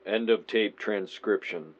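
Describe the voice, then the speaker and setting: An actor playing a detached, matter-of-fact narrator reading an official case report in an audio drama. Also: male voice, on the low side, measured pace, muffled recording. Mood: sober, detached.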